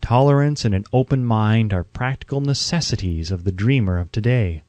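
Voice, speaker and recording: A man narrating a self-help audiobook from a script in a measured, declarative, oratorical way. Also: lowish voice, warm tone, no room sound.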